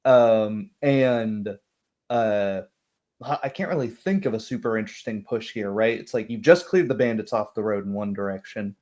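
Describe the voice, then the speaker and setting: A man's voice: fairly low pitch, normal speed, a little mellow. A man chatting casually as a game master, thinking aloud and searching for his words. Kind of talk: casual chat.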